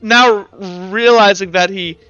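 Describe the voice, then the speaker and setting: A man's voice: sits high, takes it slowly, sharp tone, smooth, no room sound. A man working as game master, improvising the narration of a tabletop roleplaying game in a casual way. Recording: slightly dull audio, music underneath. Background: background music.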